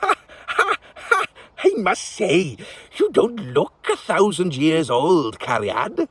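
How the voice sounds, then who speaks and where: A man's voice: high voice, huge pitch swings, fairly bright tone, breathy edge, voice pushed up, full character voice. A man putting on a sweet, cackling old witch. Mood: amused. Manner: crowing, cackling laughs, drawn-out speech. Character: sweet old witch woman, crowing and pitying.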